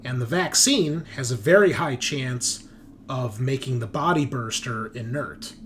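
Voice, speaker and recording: A man's voice: mid-range voice, unhurried, a little hiss or hum. A man acting as game master, chatting casually and explaining the game's backstory in a rambling, conversational way.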